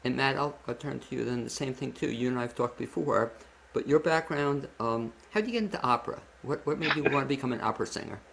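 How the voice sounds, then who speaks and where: A man's voice: medium pitch, quick pace, creaky. A man hosting a show, chatting casually as he interviews guests. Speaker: talk show host. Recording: noisy background, room ambience.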